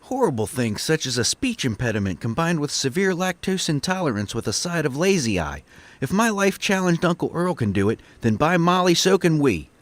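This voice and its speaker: Man playing earnest country lad, lively pitch. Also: brisk, dry recording. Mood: grandiose, rousing. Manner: pompous speechifying, polite. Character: earnest, dim-witted, grandiose country young man. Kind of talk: comedic audio drama acting.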